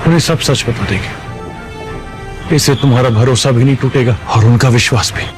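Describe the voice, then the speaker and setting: A man's voice: mid-range voice, moderate pace, music in the background, close to an everyday voice. An actor playing an earnest, devoted young man in love, speaking tenderly and reassuringly in a romantic audio drama.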